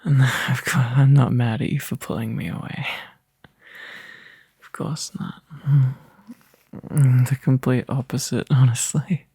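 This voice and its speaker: Guy acting as a worn out tender boyfriend for asmr, really breathy and husky, slow halting talk, up close. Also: medium pitch, steady tone, a little mellow, dry recording, exaggerated voice. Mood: sleepy, reassuring. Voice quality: breathy, husky, often whispering. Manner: halting, drowsy, sighing delivery. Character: tired, overworked young boyfriend, tender and appreciative. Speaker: ASMR roleplay performer. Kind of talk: soft, intimate ASMR boyfriend roleplay. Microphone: close microphone, dry.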